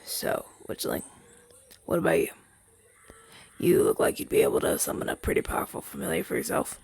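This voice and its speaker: Male actor for soft, hesitant demon familiar, ASMR roleplay. Wistful and gentle, very gravelly and husky. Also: on the high side, wildly swooping pitch, dry recording, exaggerated voice. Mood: curious. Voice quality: breathy, husky half-whisper. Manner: hesitant, soft-spoken, halting and conversational. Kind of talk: ASMR roleplay audio drama, softly spoken to listener.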